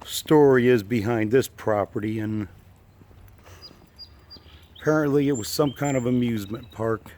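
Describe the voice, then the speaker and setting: Male explorer vlogger, mildly congested froggy voice, unhurried folksy off-the-cuff remarks, some noise behind. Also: mid-range voice, darkish tone. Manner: halting, musing, folksy. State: slightly froggy from allergies. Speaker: urban exploration video maker. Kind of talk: casual unscripted walk-through commentary. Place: walking around an abandoned park site.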